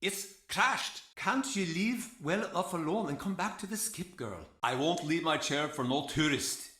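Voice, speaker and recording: Gruff stubborn old man, roomy. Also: a little high, expressive, a little slow, pushed and bright. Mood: grumbling, mocking. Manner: gruff, folksy grammar. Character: stubborn, grumpy old barfly man, suspicious and idle. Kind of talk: video game voice acting. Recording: roomy, echoing interior sound.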